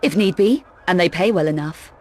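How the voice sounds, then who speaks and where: An actress playing a tough, self-assured young female adventurer in a video game, curt, sardonic and quietly threatening. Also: on the low side, normal speed, close to an everyday voice. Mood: cool, persuasive. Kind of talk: video game cutscene acting.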